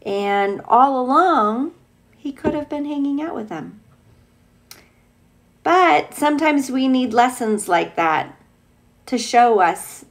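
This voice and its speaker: A woman teacher reading a picture book aloud to young children, expressive and playful, with gushing, chatty asides. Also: a little high.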